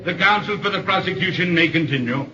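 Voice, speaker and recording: An actor playing a stern judge giving official commands in a 1940s radio drama. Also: male voice, on the high side, very noisy, natural voice. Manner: loud, drawn-out official commands. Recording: dull 1940s radio recording.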